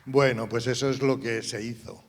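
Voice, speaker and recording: A man presenting live and unscripted, with halting, informal phrasing. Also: mid-range voice, steady tone. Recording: reverberant hall, faint noise.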